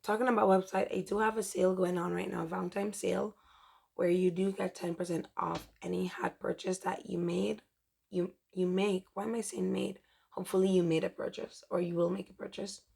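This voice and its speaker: A young woman hosting a chatty crochet tutorial, her voice mostly gone and rough from a dry throat, rambling and correcting herself as she goes. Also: medium pitch, darkish tone. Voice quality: strained, rough. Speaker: crochet tutorial host and shop owner.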